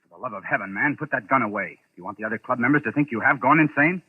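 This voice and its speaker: An actor playing a shrewd, composed skeptic and lecturer, urbane and probing, in vintage radio drama style. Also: male voice, medium pitch, very fast, breathy edge, natural voice. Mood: firm reluctance. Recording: muffled, crackly old radio recording.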